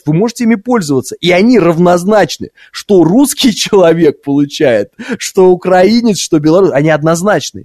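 A man's voice: fairly high pitch, a little mellow, no room sound, clean studio sound. A man from Russia's Orenburg region hosting live talk radio, giving opinions conversationally, repeating points and asking rhetorical questions. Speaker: radio talk show host. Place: radio studio. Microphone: close studio microphone.

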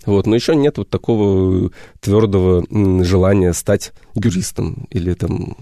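A man, a history educator, answering questions on a radio show in an unhurried way, often searching for words. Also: deep voice, fairly even intonation, gravelly, warm tone, no room sound, clean studio sound. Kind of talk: radio interview. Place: radio studio.

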